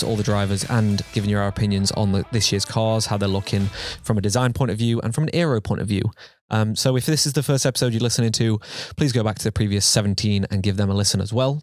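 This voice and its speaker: A man's voice: lowish voice, steady tone, quick pace, darkish tone. A young man from England co-hosting a casual podcast, with a mixed English accent drifting toward Australian, rambling, swearing freely and mocking himself. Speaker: podcast co-host. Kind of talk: casual chat.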